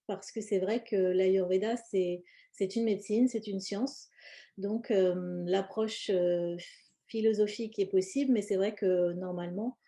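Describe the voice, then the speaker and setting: A woman from France gives an informal explanatory live presentation, speaking hesitantly and often pausing mid-phrase. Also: medium pitch, warm tone. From born in India, grew up in France. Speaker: presenter, cosmetics brand founder.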